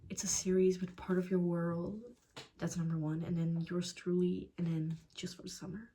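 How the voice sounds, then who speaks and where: A woman's voice: lowish voice, little pitch movement, normal speed, mellow, a little hiss or hum. A young Dutch woman giving a casual, blunt book review in English with a Dutch accent. She swears freely and often drops into a whisper. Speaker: book vlogger.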